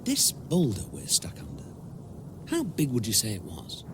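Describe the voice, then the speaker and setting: An actor playing a brisk, sarcastic alien space traveller in a British comic radio drama. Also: male voice, fairly low pitch. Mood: wry. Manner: excitable, sarcastic. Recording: noisy sound-effect backdrop.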